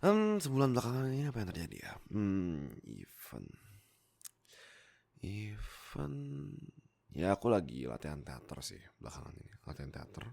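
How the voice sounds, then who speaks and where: A young man, chatting casually and playfully to viewers on a close mic, often pausing and interrupting himself. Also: on the low side, huge pitch swings, gravelly, a little mellow. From Indonesia. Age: young adult. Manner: playful, self-interrupting, hesitant pauses, jokey asides. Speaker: YouTube content creator and voice actor. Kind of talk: casual rambling chat to viewers. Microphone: close desktop microphone.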